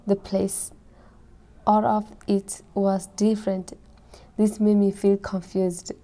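A teenage girl from Burma reads her personal story as a high school student, in careful, simple phrasing. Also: warm tone. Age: late teens.

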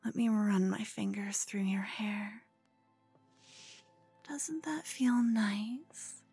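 A woman's voice: medium pitch, steady tone, drawn-out words, mellow, breathy edge, dry recording, soft background music, exaggerated voice. A woman playing a cute, obsessed, scheming yandere con woman in an ASMR roleplay, coy and cooing, often slipping into whispers. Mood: cooing, gloating.

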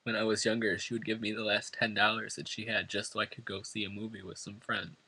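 A man's voice: lowish voice, level tone, quick pace, pushed and bright, husky, poor audio. A man from New York State reading a prepared eulogy at a funeral, earnest and emotional. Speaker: son giving a eulogy. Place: funeral service before family and friends.

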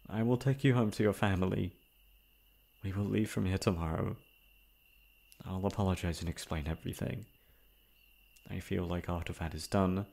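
Guy doing a guilty tearful young prince for asmr roleplay, low gravelly voice, stiff formal wording, stumbles over words. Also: a little mellow. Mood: subdued, grateful. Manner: formal, halting, stammering. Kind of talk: dramatic ASMR roleplay monologue.

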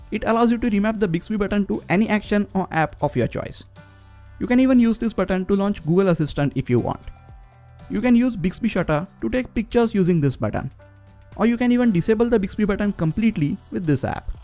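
Male tech narrator, high register, scripted review delivery with a light music bed. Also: animated, a little fast, a little mellow. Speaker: tech video co-presenter. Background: background music.

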